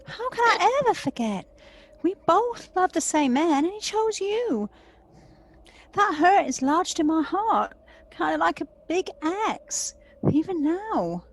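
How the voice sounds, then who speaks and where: Woman acting a high-pitched, jealous, wounded sister. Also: big pitch swings, takes it slowly, heavily acted. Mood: hurt. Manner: dramatic, confrontational. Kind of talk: audio play acting.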